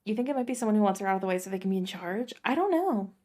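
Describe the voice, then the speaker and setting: A woman with an average-pitched voice comments live on a game she is streaming. Her talk is informal and musing, like someone thinking aloud in casual conversation. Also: lively intonation, rapid-fire, darkish tone.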